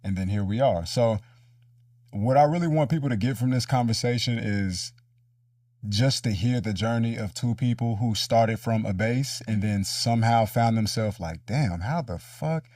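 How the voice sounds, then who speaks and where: A man's voice: fairly low pitch, normal speed, a little mellow, no echo, studio quality, distant mic. A man hosting a casual, reflective conversational interview in informal language. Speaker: interviewer, show host.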